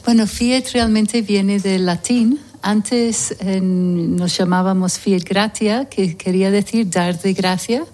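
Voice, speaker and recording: A woman raised in Scotland, founder of a charity, answering questions in a calm, reflective way. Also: mid-range voice, slow, hardly any pauses. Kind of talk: interview answers.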